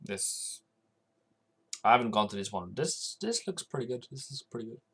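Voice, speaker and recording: A man's voice: medium pitch, very animated, normal speed, a bit bright, breathy edge. A man from Norway chatting casually on a trading livestream, rambling and answering viewers' comments as they come in.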